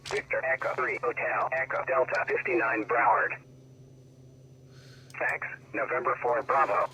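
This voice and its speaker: A man's voice: mid-range voice, unhurried, vocal fry. A man heard through a radio receiver, giving clipped contest exchanges as an amateur operator. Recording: noisy crackly shortwave radio audio.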